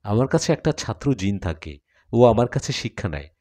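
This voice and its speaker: A man voicing a grave, fatherly cleric and spirit healer in a story, measured and solemn. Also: on the low side, very animated, dark tone.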